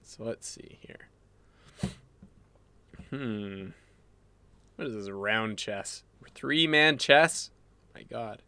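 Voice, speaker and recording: A man's voice: fairly low pitch, extremely slow, pauses often, bright, forceful tone, clean tone. A man, a little phlegmy, designing live and musing aloud in a rambling casual way with fillers and restarts, at a desk mic in his home workspace. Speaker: graphic designer streaming his work.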